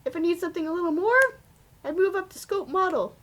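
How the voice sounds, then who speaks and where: A woman's voice: very high-pitched, fast talker. A woman voicing a chirpy bird mascot who supervises coding in a conversational, opinionated way. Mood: explanatory.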